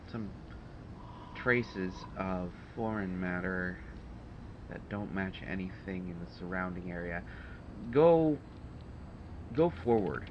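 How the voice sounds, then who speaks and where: Through a dull, noisy recording comes a sardonic male supervillain acted by a man in an intimate audio roleplay. His voice is lowish and slow. He is testy and haughty, hesitating in a dry, exasperated way. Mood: puzzled, exasperated.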